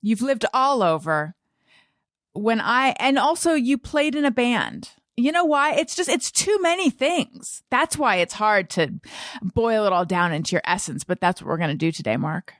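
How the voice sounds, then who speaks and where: A woman's voice: fairly high pitch, expressive, moderate pace, a bit bright, dry recording, clean studio sound. A middle-aged American woman hosting a podcast, chatting casually in a rambling, self-deprecating way. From Orange County, United States. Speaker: podcast host. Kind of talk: casual conversational interview.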